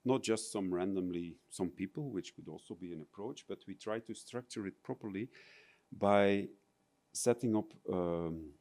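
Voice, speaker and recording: Low-voiced Belgian man presenting. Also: moderate pace, a little mellow. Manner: hesitant, many fillers and restarts, non-native phrasing. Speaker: conference presenter. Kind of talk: conference presentation.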